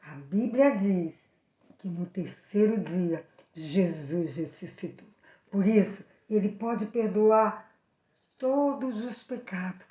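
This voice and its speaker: A woman with a Brazilian accent telling a Bible story for children, speaking directly to the young viewers in an animated way. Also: medium pitch, reverberant, highs cut off. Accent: Brazilian Portuguese.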